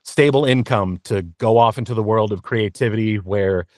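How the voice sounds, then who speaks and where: A man answering interview questions candidly as a voice actor, in an earnest, reflective and halting conversational manner. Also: on the low side, darkish tone, no room sound, studio quality. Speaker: interview guest, voice actor and narrator.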